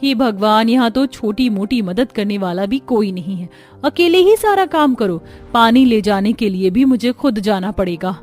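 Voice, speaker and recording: A woman's voice: medium pitch, animated, normal speed, darkish tone, soft background music, natural voice. A woman voicing a put-upon but plucky young city-bred daughter-in-law in a cartoon story, with dramatic, exclaiming delivery. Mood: grumbling, weary. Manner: exclaiming, self-pitying, dramatic. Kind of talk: Hindi cartoon story dialogue.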